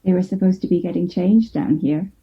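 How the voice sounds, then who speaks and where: A woman playing an eerie, prying onlooker with a measured, creepy delivery, in a horror audio drama. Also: on the low side, steady tone, warm tone, lo-fi sound, lightly acted. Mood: eerie, eager. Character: eerie, slow-speaking prying woman, hungry to watch.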